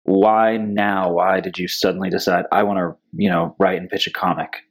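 Male podcast host, low voice, curious follow-up questions. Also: level tone, moderate pace. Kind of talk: interview questions.

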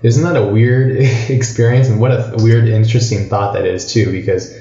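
A man's voice: fairly low pitch, fairly even intonation, normal speed, mellow, reverberant, highs cut off. A man from Hawaii hosting a podcast, talking loosely in casual chat with many fillers and restarts. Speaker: podcast host.

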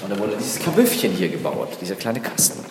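A man explains things step by step like a backstage guide, with lively swings in pitch. He is recorded in a long, reverberant hall with clear noise behind him. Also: fairly quick. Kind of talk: explanatory anecdote on location. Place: large reverberant space inside a theatre.

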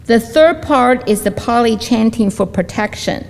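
Woman at an interfaith service, measured reading with small restarts, creaky voice, ringing hall. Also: fairly high pitch, lively intonation. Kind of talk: reading prepared remarks. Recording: long hall reverb.

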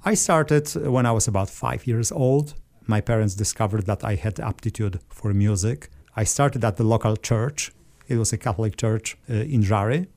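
A man from Poland with a Polish accent, a guest organist calmly explaining things in a radio interview. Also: on the low side, normal speed.

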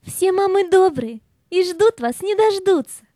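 A young fairy-tale heroine, kind and full of curiosity. Her voice is very high with a soft edge, in a dry audio-play recording. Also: lively intonation, unhurried, dry recording. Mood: reassuring. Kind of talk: children's audio play acting.

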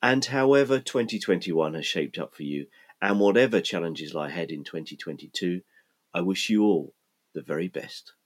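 A man hosting a podcast, reading his scripted links in a measured, reflective way, with an English-sounding accent despite growing up in Scotland. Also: deep voice, expressive. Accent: English-sounding, not Scottish. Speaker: podcast host.

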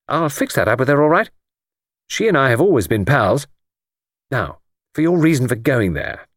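A man voicing a brisk, confident, chummy male friend in audiobook dialogue, breezy, slangy and emphatic. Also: mid-range voice, very animated, no echo, clean studio sound. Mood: brisk, persuasive.

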